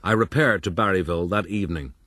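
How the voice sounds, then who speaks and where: An actor playing an older gentleman who looks back wryly on his youth in florid, formal radio drama narration. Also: low voice, fast. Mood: wry reminiscing. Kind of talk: first-person period radio drama narration.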